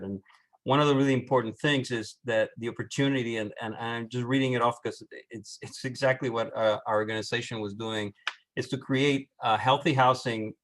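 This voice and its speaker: A middle-aged man with a Puerto Rican accent, an architect presenting on a panel, halting with many restarts and fillers. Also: mid-range voice, fairly bright tone. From Puerto Rico.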